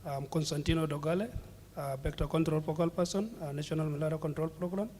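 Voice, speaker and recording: A man from South Sudan, a malaria program officer, introducing himself in halting pieces at a meeting. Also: fairly high pitch, fairly even intonation, darkish tone, big-room sound. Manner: halting, listing his title in pieces. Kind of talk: brief self-introduction at a meeting.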